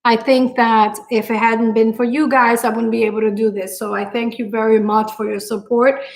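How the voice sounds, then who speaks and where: A middle-aged woman from Puerto Rico with a strong Puerto Rican accent, chatting live with her viewers and thanking them, with many pauses and fillers. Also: a little high, little pitch movement, gravelly. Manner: warm-hearted, grateful, frequent fillers and pauses. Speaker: cooking channel host. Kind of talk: live stream chat with viewers.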